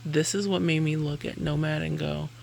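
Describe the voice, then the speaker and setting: A woman's voice: low-pitched, fairly even intonation, moderate pace, creaky, a little mellow, a little hiss or hum. A woman chatting casually through a makeup review, rambling and correcting herself, laughing between sentences. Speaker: beauty vlogger.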